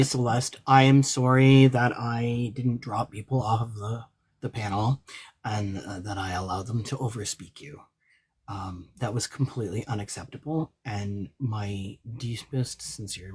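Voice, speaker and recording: A man's voice: fairly low pitch, steady tone, normal speed, slightly rough. A man hosting a live panel, speaking earnestly. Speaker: panel host. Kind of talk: live stream panel chat.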